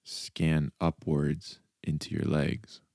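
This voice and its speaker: Very deep, creaky male meditation guide. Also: no echo, clean studio sound. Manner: calm, deliberate, soft instructional delivery with pauses. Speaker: mindfulness coach.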